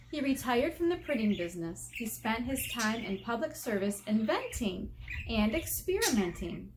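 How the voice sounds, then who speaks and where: An American woman reading a picture book aloud as an elementary teacher, expressive and teacherly, stressing key words and putting questions to her young listeners. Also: on the high side, slight background noise, rough recording. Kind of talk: children's read-aloud with explanatory asides.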